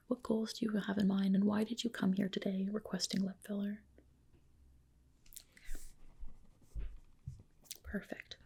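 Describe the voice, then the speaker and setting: A woman speaking in a soft, breathy whisper, playing a gentle, reassuring clinician in a personal-attention ASMR roleplay. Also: medium pitch. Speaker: ASMR performer playing a medi-spa clinician.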